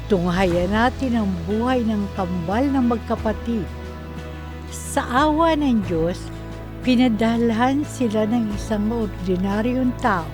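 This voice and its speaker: A woman giving solemn, reflective scripted narration to introduce a drama. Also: medium pitch. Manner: reflective, deliberate.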